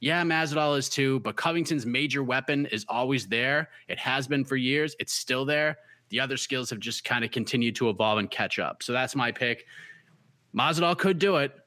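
Confident bright-voiced Boston man. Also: medium pitch, normal speed, dry recording. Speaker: podcast host. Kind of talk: casual fight-pick chat.

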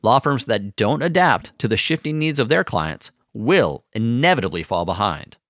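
A man's voice: medium pitch, very animated, normal speed, fairly bright tone, dry recording, studio quality. A man reading a scripted sponsor spot in an upbeat voice. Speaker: ad spokesman. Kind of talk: scripted ad read.

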